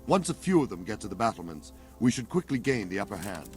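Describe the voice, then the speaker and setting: An actor playing a blunt, gruff middle-aged veteran soldier commander in a video game cutscene, speaking in plain earthy soldier's talk. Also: male voice, lowish voice, sing-song, quick pace, faint music behind. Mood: briefing.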